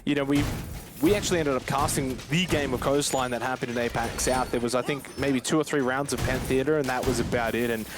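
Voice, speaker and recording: A man's voice: medium pitch, a little fast. A man giving live esports commentary in an analytical, conversational manner. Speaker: esports match caster. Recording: gunfire game noise behind voice. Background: video game gunfire and clicks.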